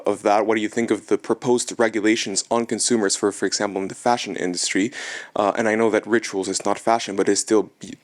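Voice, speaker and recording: A man in the audience asking the panel a long question, with many restarts and fillers. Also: lowish voice. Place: conference hall.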